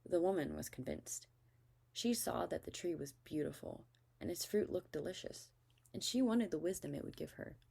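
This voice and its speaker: A woman's voice: low voice, creaky, a little mellow, slightly rough. A woman reading Bible narration aloud from a script as a storyteller. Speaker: scripture reader.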